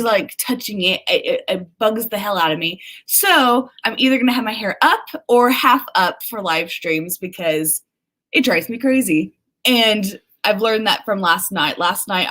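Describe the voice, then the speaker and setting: A woman's voice: mid-range voice, a bit bright. A woman hosting a casual livestream, chatting informally with viewers, reading out their comments and exclaiming as she acts out remarks. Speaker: livestream host.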